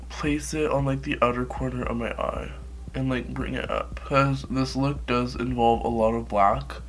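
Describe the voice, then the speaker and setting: A man's voice: mid-range voice, fairly bright tone, poor audio. Brief: young male makeup vlogger, sick and nasal, casual profane rambling, home camera mic, faint hum behind. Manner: rambling, profane, filler-heavy.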